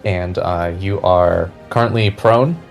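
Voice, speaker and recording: A man narrating a fantasy tabletop game as game master, describing scenes deliberately and with suspense. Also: sits low, creaky. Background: background music.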